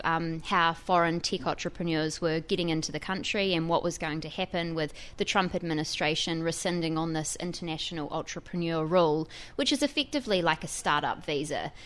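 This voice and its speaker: A woman's voice: on the low side, a little fast, few pauses. New Zealand woman reporter explaining. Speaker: tech reporter. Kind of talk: radio interview guest.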